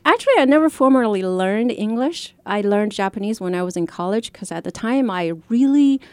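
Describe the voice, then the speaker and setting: A woman's voice: mid-range voice, lively intonation, a little fast, a little mellow, no room sound. A middle-aged woman from China, a professor guest on a radio talk show, speaking earnestly with many restarts and fillers. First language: Chinese. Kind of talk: radio interview.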